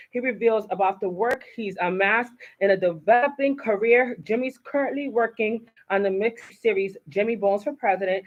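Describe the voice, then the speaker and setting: Chatty Haitian American woman host. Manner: chatty, stumbles over words and corrects herself. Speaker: show host. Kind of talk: casual interview, reading a guest bio. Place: studio. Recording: muffled low-fidelity studio sound.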